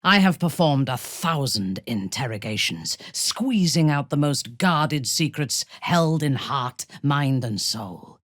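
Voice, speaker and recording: A woman playing a ruthless, imperious female warrior commander in a fantasy video game, measured and haughty, with a husky, breathy voice and coldly seductive menace. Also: extremely low pitch, lively intonation, a bit bright, no echo, studio quality, full character voice. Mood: cold, commanding.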